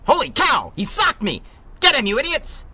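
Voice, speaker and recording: An actor playing a menacing, mocking gang leader in a 1930s-style radio adventure serial. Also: male voice, very high voice, wildly swooping pitch, normal speed, background noise, exaggerated voice. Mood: shocked, outraged. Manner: taunting, mocking tough-guy slang. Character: menacing, sneering male street thug gang leader, 1930s.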